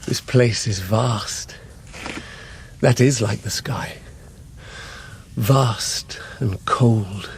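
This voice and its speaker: An actor playing a dreamy, curious emperor in a radio drama, speaking in a measured, stately, wondering way. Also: male voice, fairly low pitch, a little hiss or hum. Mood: awed, dreamy.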